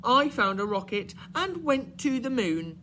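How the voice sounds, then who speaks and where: A little storybook bear cub, voiced by a man, eager and inquisitive. The voice jumps up high and swoops about chirpily. The recording has clear background noise. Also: very animated, measured pace, full character voice. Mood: matter-of-fact. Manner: bright-eyed, chirpy, inquisitive.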